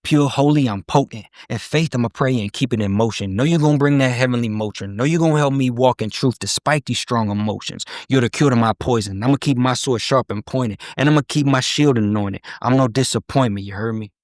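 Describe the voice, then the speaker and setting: A man's voice: mid-range voice, brisk, few pauses, no echo, clean studio sound. A man, a guest rapper, talking casually and rapping his verses with a rhythmic flow. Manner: rhythmic rap delivery, laid-back in conversation.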